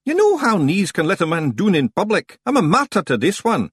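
A shifty, mysterious stranger from a storybook. The accent is broad Scottish and the voice is pushed up high, with big swoops in pitch. He blusters through his excuses in hurried dialect. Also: huge pitch swings, breathy edge, dry recording, clean studio sound, heavily acted. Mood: blustering, evasive.

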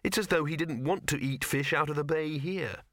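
A man's voice: a little high, normal speed, a bit bright, dry recording, clean studio sound, voice pushed up, natural voice. A man voicing an awkward, eager young man who speaks hesitantly and earnestly.

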